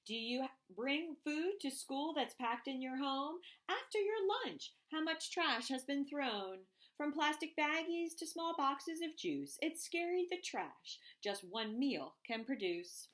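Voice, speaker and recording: A woman reading a rhyming children's picture book aloud, expressively and deliberately, with emphasised phrases. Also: high-pitched, big pitch swings, fairly bright tone.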